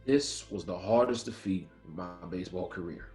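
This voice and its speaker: A man acts a proud, firm male ballplayer who stands his ground. His fairly deep voice is measured and earnest. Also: a little mellow, soft background music, natural voice. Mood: solemn. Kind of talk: dramatic table read.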